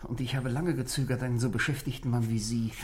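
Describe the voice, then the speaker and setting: A man's voice: mid-range voice, fairly even intonation, quick pace, darkish tone, close to an everyday voice. An actor playing a nervous, fussy salesman of around forty in a 1960s German radio play, hesitant, stammering and very apologetic. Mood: flustered, apologetic. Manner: hesitant, stammering, over-polite, flustered.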